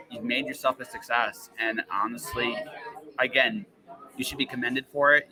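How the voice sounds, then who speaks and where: A man's voice: medium pitch, low-quality recording. A man hosting a casual, enthusiastic interview, rambling and repeating phrases for emphasis. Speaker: podcast host interviewing a guest.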